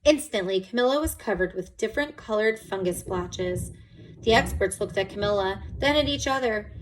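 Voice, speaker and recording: A woman's voice: medium pitch, expressive, normal speed, a little hiss or hum, poor audio. A woman reading a picture book aloud to children, expressively and with dramatic emphasis.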